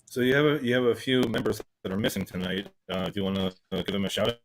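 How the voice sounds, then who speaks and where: A man's voice: fairly low pitch, quick pace, slight background noise, low-quality recording. A man hosting a music podcast, asking a guest brief, direct questions. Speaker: podcast host. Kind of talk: interview questions.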